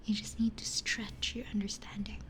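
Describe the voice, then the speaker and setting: A woman whispering casually to live viewers in an ASMR style, hushed and breathy, close on a binaural microphone. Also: mid-range voice, flat delivery, normal speed. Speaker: ASMR streamer.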